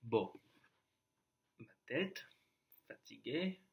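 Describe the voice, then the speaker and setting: Husky-voiced young man, hesitant vlog chat. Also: medium pitch, animated. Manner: hesitant, halting, with many restarts and trailing-off phrases; addresses the viewer directly, informal. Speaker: YouTuber. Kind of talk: casual confessional vlog monologue.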